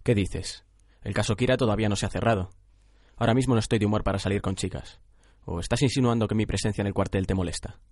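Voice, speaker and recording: A man's voice: on the low side, quick pace, a little mellow. A man playing a cunning, self-righteous young vigilante in a radio drama, controlled and insistent. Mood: defensive. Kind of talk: radio drama adaptation of anime.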